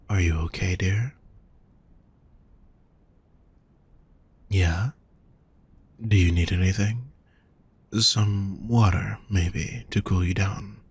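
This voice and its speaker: A man playing a gentle, protective demon lover in an intimate roleplay audio, soft, husky and reassuring, speaking straight to the listener. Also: low voice, heavily acted. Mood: concerned, gentle. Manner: soft, reassuring, unhurried coaxing. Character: gentle, teasing, protective male demon lover at a fantasy brothel.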